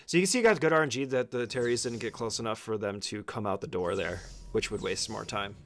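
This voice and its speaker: A man's voice: lowish voice, fast, fairly bright tone, slight background noise. A man giving an informal, step-by-step speedrun tutorial as casual gameplay commentary, swearing freely.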